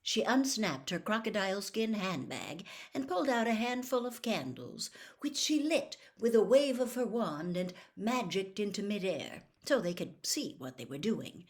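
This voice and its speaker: A woman storyteller reading a novel aloud in expressive audiobook-style narration. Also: medium pitch, normal speed.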